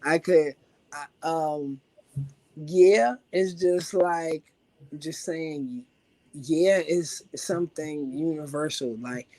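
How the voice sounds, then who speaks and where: A man from Washington, D.C. with a raspy voice, talking about his music as a guest in a casual, laid-back interview full of filler phrases. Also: a little high, slow pace, mellow, no room sound, low-quality recording. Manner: laid-back, loose, full of "you know what I'm saying". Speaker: rapper guest.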